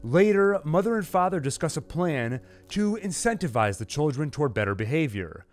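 A man presents a scripted recap and commentary. His voice sits a little high for a man and moves widely up and down, with measured phrasing and clear emphasis on key words. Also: sing-song, soft background music. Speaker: channel host, narrator. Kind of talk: dramatized recap narration and commentary.

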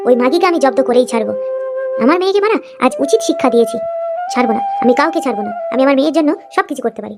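A woman's voice: high-pitched, wildly swooping pitch, racing, over loud music, heavily acted. A woman voicing a protective, vengeful ghost mother who is tender with her daughter, in a dramatic cartoon style. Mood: resolute, vengeful.

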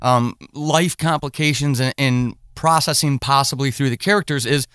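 A man's voice: medium pitch, normal speed, a bit bright, smooth, no room sound, studio quality. A middle-aged man hosting a conversational podcast interview, circling his way through wordy, hesitant phrasing.

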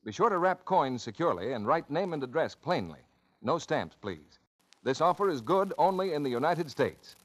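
A man's voice: on the high side, big pitch swings, moderate pace. A man announcing a 1940s radio advertisement in a formal, instructive manner. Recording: dull old radio recording.

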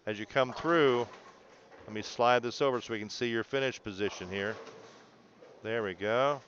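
A man's voice: medium pitch, normal speed, a bit bright, highs cut off, slight background noise. A man coaching a student through video analysis in an encouraging, explanatory way, often checking in. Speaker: bowling coach.

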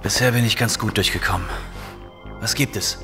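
An actor playing a cool, casual space commander in a retro sci-fi radio drama, talking in a wry, chummy way. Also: male voice, fairly low pitch, moderate pace, vocal fry, music in the background. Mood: calm, focused.

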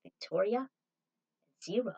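A woman's voice: mid-range voice, animated, measured pace, dark tone, lo-fi sound. A woman voicing a showy announcer of a TV bounty show in a story. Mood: proud. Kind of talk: TV presenter patter.

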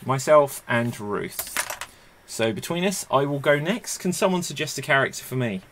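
A man's voice: mid-range voice, animated, moderate pace, fairly bright tone, a little hiss or hum. A man hosting an online improv comedy game over a video call, wearing a headset and announcing the rounds in an upbeat way.

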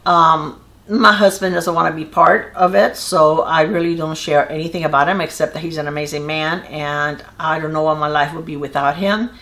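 A woman's voice: sits low, fairly even intonation, normal speed, fairly bright tone. An older woman with a Cuban accent talking straight to the camera in a casual, earnest way, rambling a little and restarting her sentences as she chats to her viewers. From Cuba. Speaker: beauty channel creator. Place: small indoor room with a wall of decorative tiles.

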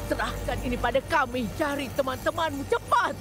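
A woman playing a firm, protective cartoon witch teacher. Her high voice gives orders bravely, with music clearly behind it. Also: a little fast, lightly acted. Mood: urgent resolve. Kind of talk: children's cartoon dubbing.

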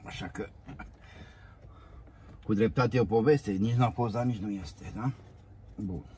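A middle-aged man with a low, mellow voice talks half to himself as he tinkers inside a parked car. A phone camera on the passenger side picks him up, with a faint hiss and outdoor hum behind him. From Romania. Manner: muttering to himself, coaxing the parts. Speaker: DIY car vlogger. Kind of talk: casual self-talk while working.

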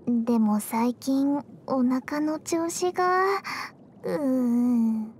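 A woman's voice: sits high, drawn-out words, a little mellow, slight background noise. A woman voicing a sweet, cheerful teenage girl idol and healer in an anime game, with an earnest, polite, encouraging manner. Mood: gentle, bright. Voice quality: girlish, sweet. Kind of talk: anime game character voice lines. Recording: studio voice over game music.

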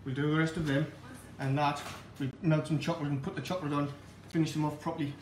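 Quick-talking man explaining baking, off-mic. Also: fairly high pitch, little pitch movement, far from the mic, a little hiss or hum. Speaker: guest baker. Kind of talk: explaining a recipe while working. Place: large home-style kitchen. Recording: echoey kitchen from off-mic.

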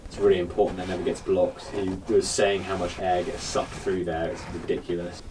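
A man's voice: low-pitched, level tone, a little fast. A man, chatting casually inside a boat. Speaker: boat-living vlog regular. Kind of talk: casual chat. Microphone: handheld camera. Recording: clear background noise, camera audio.

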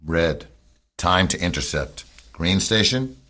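A man's voice: deep voice, lively intonation, takes it slowly, bright, forceful tone, full character voice. A man playing a stern military captain in a radio drama, giving terse orders to his team. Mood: clipped, controlled.

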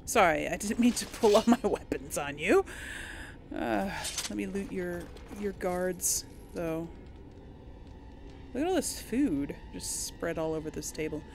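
A woman's voice: fairly low pitch, animated, unhurried, background noise. A giggly, easily startled woman giving casual video game commentary, reacting aloud as she plays. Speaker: gamer, video game playthrough host. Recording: game music behind the voice.

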